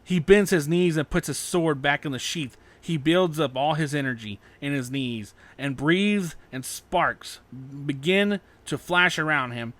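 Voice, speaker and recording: A man's voice: fairly high pitch, unhurried, hardly any pauses, a bit bright. A man narrating scripted fan fiction as an audiobook.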